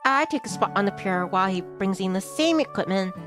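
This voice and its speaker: Female playthrough streamer, average pitch; story narration plus offhand asides, background music. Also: lively intonation, fairly quick. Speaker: streamer reading a visual novel aloud. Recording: music behind the voice.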